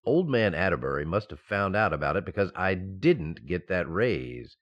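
A man's voice: sits low, sing-song, normal speed, a bit bright, exaggerated voice. A man playing a sensible, exasperated banker husband in an old-time radio sitcom, mock-stern and explaining things patiently, in slightly muffled sound.

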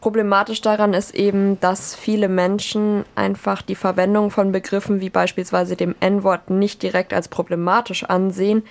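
A woman's voice: mid-range voice, level tone, normal speed, gravelly, few pauses. A young woman presenting explanatory commentary in a matter-of-fact, scripted manner.

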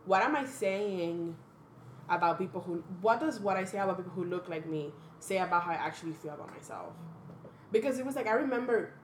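A woman's voice: fairly low pitch, expressive, fairly quick, roomy sound, slight background noise. A young woman from the Dominican Republic co-hosting a podcast, speaking thoughtfully and frankly about her life. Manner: thoughtful, earnest, frank, with sudden blunt humor. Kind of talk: reflective casual chat.

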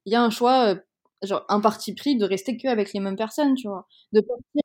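Mellow-voiced young woman, frank and heated. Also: medium pitch, fairly quick, slight background noise. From Madagascar. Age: young adult. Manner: hesitant, many restarts and fillers. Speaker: interviewee. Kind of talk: casual conversation.

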